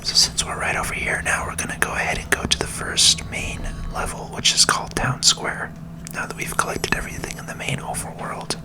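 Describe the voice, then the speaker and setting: A person whispering ASMR commentary while playing a video game, in a gentle, unhurried manner. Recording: music under the voice. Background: game music.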